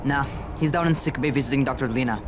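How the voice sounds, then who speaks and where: A man's voice: medium pitch, fast, background noise, close to an everyday voice. An actor playing a headstrong, reckless young man in a video game, speaking informally and impulsively. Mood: sulky. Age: young adult.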